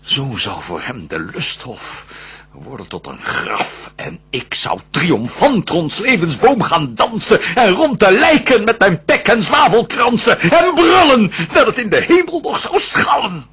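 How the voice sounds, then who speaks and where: An actor playing Lucifer, a vengeful, scheming fallen angel, in a theatrical radio play, declaiming in a husky, raspy voice that swings from hushed to roaring. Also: male voice, high-pitched, sing-song, unhurried, full character voice. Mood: vengeful frenzy. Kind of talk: theatrical radio drama verse monologue.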